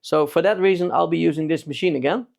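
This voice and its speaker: A man's voice: on the high side, steady tone, fast talker, creaky, a little mellow. A man with a Dutch accent, a native Dutch speaker, giving a casual tutorial as a DIY video maker. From Netherlands.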